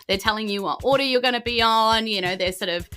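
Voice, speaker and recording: A woman's voice: on the high side, quick pace, pushed and bright, smooth, soft background music. A woman narrating a tabletop game casually as game master, chatty and conversational. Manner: chatty, conversational, acts out reactions.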